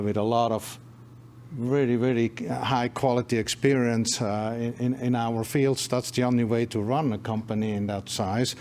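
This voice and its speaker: A man's voice: medium pitch, darkish tone. A man with a voice giving earnest closing remarks at a company investor presentation, restarting his phrases often. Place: conference room.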